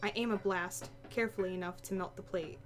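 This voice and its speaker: A woman with a mid-pitched voice reads a visual novel aloud. She narrates in the first person with a dramatic storytelling delivery, and music plays clearly behind her. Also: steady tone, a little fast.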